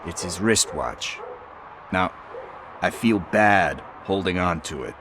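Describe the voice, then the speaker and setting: An actor playing a chatty, evasive street hustler who talks in wheedling casual slang. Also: male voice, sits low, animated, slow pace, a bit bright, a little hiss or hum, heavily acted. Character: shifty street hustler, middle-aged man, chatty and evasive.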